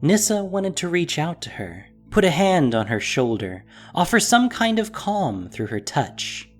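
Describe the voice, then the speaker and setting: An animated storyteller with a mid-pitched voice and wide-moving intonation. The delivery is scripted, dramatic narration for an audio drama, with soft music behind it. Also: a little slow.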